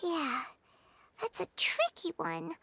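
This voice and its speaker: A woman voicing a small, excitable childlike fairy companion in a video game, squeaky and earnest. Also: slow pace, background noise, heavily acted. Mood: worried.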